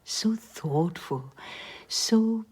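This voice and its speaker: A woman's voice: medium pitch, lively intonation, drawn-out words, a little mellow, breathy edge, exaggerated voice. An actress playing an effusive, sentimental older woman with a Welsh accent in a radio drama, lingering on her words. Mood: sentimental, coy.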